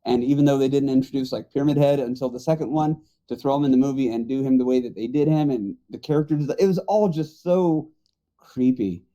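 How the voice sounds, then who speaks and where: A man's voice: mid-range voice, brisk, warm tone, highs cut off. A middle-aged man chatting casually on a podcast panel about movies.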